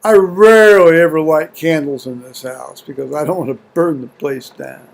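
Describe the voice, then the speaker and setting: An American man video blogging at home in a halting, folksy, rambling way, muttering to himself and reading to viewers. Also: on the high side, gravelly. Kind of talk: rambling casual chat with scripture reading. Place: at home, indoors.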